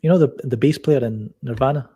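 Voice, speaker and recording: Guest: soft-spoken man, mellow husky tone, halting casual storytelling. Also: medium pitch, highs cut off. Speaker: podcast guest. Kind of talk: casual chat.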